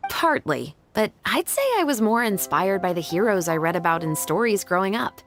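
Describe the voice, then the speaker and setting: A woman playing a resolute, composed young patrol officer in a video game, speaking in an earnest, measured, formal way. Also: mid-range voice, lively intonation, close to an everyday voice. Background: background music.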